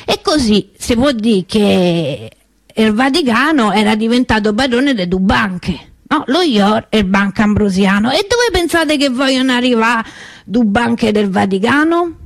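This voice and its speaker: A woman's voice: on the high side, animated, measured pace, no room sound. A woman acting a wry Roman street vendor. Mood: sardonic. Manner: conversational, hesitant, peppered with tag questions. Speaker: actor in a theatre monologue. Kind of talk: colloquial storytelling monologue.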